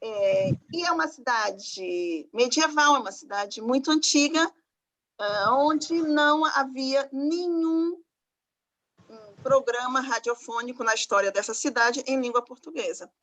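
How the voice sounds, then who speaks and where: A woman with a Northeastern Brazilian accent from Maranhão, giving an online talk as a professor and presenting a radio project, pausing often with fillers. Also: high-pitched, fairly bright tone. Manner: thoughtful, with frequent fillers and pauses. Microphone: video call.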